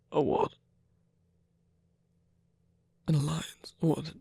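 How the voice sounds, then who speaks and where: A man playing a weary, soft-spoken rebel villain and lover in an intimate ASMR roleplay, speaking haltingly in a breathy near-whisper. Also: on the high side, little pitch movement, dry recording, clean studio sound, full character voice. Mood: amused disbelief. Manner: hesitant, halting, murmured with pauses.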